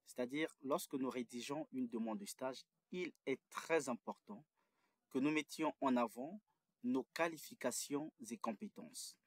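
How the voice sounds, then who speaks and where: A man's voice: medium pitch, animated, a little slow, dry recording. A man giving a step-by-step lesson on writing an internship application, restating his points carefully. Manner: methodical, repeats and restates points. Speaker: instructor. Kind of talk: tutorial on writing job applications.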